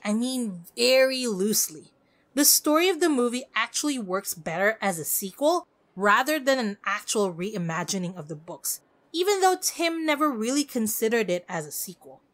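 High-pitched, very lively woman podcaster. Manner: expressive, effusive. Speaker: podcast host. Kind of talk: conversational scripted film commentary.

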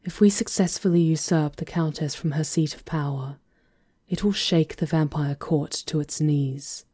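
Sly female witch conspirator for ASMR drama, acted by a woman: slightly low, deliberate, self-possessed. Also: fairly even intonation, dark tone, breathy edge, no room sound, clean studio sound. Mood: solemn resolve. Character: composed, sly female witch conspirator plotting against a countess. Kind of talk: ASMR roleplay acting.

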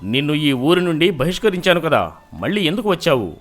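A man's voice: a little high, very animated, a little fast. Guy doing angry bossy village chief in a cartoon story, blaming people, music behind. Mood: angry, accusing. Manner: accusing, commanding. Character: stern, angry male village headman, quick to blame. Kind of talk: cartoon moral story dialogue.

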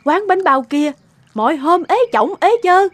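Cartoon fairy tale: outspoken female village vendor, high, exclamatory, very animated delivery. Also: measured pace, a little hiss or hum, exaggerated voice. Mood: puzzled. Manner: exclamatory, expressive. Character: outspoken, sharp-tongued female village food seller. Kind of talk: animated folk-tale dubbing.

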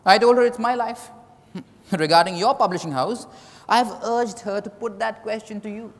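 A man's voice: high-pitched, moderate pace. An Indian-accented man playing a candid, evasive writer in a dramatized stage reading. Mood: frank. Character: Mriganko, candid, evasive male writer and lover. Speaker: actor. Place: large hotel ballroom stage, indoors. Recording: echoey hall stage recording.

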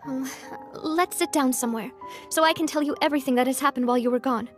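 An actress playing a young gang leader in a video game, candid and composed, with a measured, gentle way of talking. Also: female voice, high voice, soft background music, lightly acted. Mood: candid, poised. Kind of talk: video game character dialogue.

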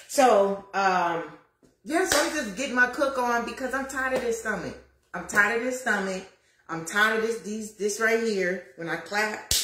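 A woman in her fifties chatting to viewers on a livestream while cooking in her home kitchen, lively and preachy, calling out to them as y'all. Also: medium pitch, background noise. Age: 50s. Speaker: lifestyle vlogger. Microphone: phone camera at arm's length. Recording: roomy kitchen echo.

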